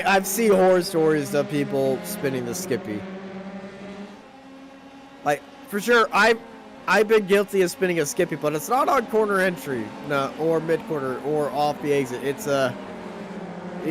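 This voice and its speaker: A man who is a native English speaker commentating on a sim race, relaxed and easy to laugh, then excited during the action. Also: fairly high pitch, moderate pace. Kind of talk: sim racing play-by-play. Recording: clear background car noise. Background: race car engine sounds.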